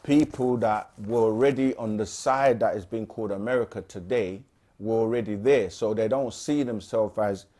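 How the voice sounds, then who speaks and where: A man co-hosting a casual livestream, reading viewer questions aloud and answering them with frequent pauses and fillers. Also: fairly low pitch. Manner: relaxed, frequent "um" and restarts, reads questions aloud.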